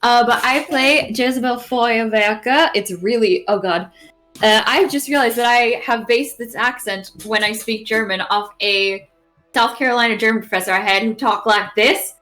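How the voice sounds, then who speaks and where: A chatty woman introducing herself before a tabletop session, with a somewhat high voice. Her talk is casual and full of self-deprecating asides. Faint music plays behind her. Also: moderate pace, fairly bright tone.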